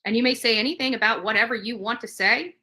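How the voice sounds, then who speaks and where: Bright, high-voiced woman on an emphatic livestream rant. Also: fast talker. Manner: combative, emphatic, repeats phrases for stress. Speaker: livestream host. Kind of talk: livestream commentary rant to viewers.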